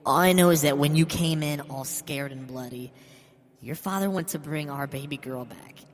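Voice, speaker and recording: A man voicing a bitter, grieving old mother in a horror story, wounded and resentful, confessing haltingly. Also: fairly high pitch, voice pushed up, natural voice. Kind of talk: dramatic horror story reading. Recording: long hall reverb. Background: music.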